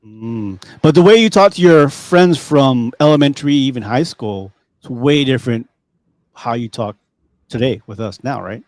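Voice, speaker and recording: A man's voice: mid-range voice, normal speed, no room sound, rough recording. A man with a Californian accent hosting a podcast, talking loosely with many fillers and restarts. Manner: relaxed, rambling, many fillers. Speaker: podcast host. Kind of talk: casual chat.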